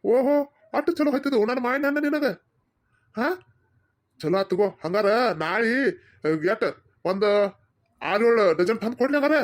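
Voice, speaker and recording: A woman's voice: fairly high pitch, expressive, moderate pace, pauses often, lightly acted. A woman with a North Karnataka accent voicing a pushy male village mango seller in a cartoon comedy, talking insistently and wheedling. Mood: pleased, pushy. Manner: insistent, wheedling salesman's talk.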